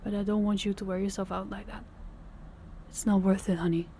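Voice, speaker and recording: A young woman playing a worn-out, loving girlfriend who talks softly and hesitantly, often stumbling over her words. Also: medium pitch, flat delivery, brisk, a little hiss or hum, close to an everyday voice. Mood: weary tender. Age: young adult. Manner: soft, hesitant, stammering restarts. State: exhausted. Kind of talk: audio roleplay acting.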